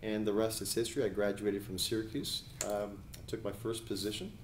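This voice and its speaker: A man in his forties, originally from New Jersey, speaks with a fairly low voice in a measured, deliberate way, pausing often on small fillers as he gathers his thoughts. He answers interview questions about his career. His voice carries a noticeable roomy echo. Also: steady tone, slight background noise. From New Jersey, USA. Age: 40s. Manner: measured, frequent hesitation fillers. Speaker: attorney and judicial candidate. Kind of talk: editorial board interview.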